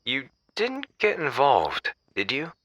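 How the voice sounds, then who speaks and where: A man's voice: medium pitch, huge pitch swings, slow pace, a bit bright, no room sound, full character voice. An actor playing a caring, inquisitive male friend, attentive and conversational, in an audio drama. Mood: curious concern.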